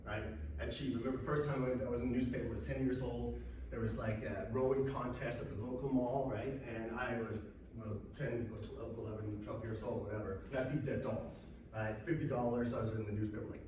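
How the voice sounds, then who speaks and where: A man in his thirties, hoarse and raspy from being sick, gives an energetic talk and keeps asking the crowd questions. His voice is picked up from a distance and bounces around a very echoey room, with loud noise running underneath. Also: medium pitch. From Denmark. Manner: energetic, asks the audience questions. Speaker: speaker at a marketing event. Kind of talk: motivational talk to an audience. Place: large echoey event room.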